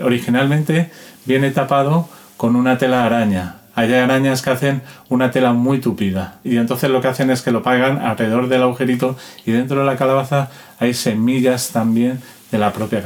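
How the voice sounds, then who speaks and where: Man explaining casually over background noise. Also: medium pitch. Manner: informal, demonstrates rhythms with spoken syllables. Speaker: interviewed musician and instrument collector. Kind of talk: casual explanatory talk with anecdotes.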